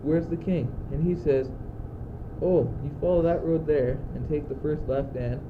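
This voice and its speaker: A man's voice: fairly high pitch, fairly even intonation, normal speed, natural voice. A teenage male student acting in a school radio play as an anxious, exasperated, brooding young courtier, declaiming in clipped phrases. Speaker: student actor. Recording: muffled phone-like old tape, loud noise.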